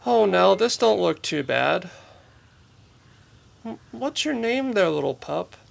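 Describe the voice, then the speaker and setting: An actor playing a weathered, gruff but tender-hearted frontier vet in a Western radio drama. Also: male voice, high voice, big pitch swings, slow pace, exaggerated voice. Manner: gruff, rustic. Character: lanky weathered grumpy vet, tender toward animals.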